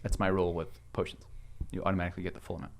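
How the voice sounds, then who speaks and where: A man's voice: sits low, level tone, very fast, creaky, crowd noise. A man acting as game master, casually refereeing a tabletop role-playing game and calling out rulings.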